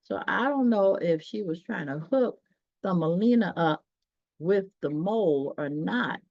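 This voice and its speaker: A woman reading a children's story aloud, pausing dramatically and chatting directly to her listeners. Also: lowish voice, animated, creaky, darkish tone, no room sound. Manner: dramatic pauses, gasps, talks directly to listeners. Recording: dull, dry lo-fi sound.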